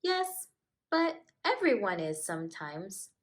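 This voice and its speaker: A kind, patient male skunk from a children's storybook, read by a woman. He speaks gently and caringly, with an expressive lilt and no hurry. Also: mid-range voice, slow. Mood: gentle.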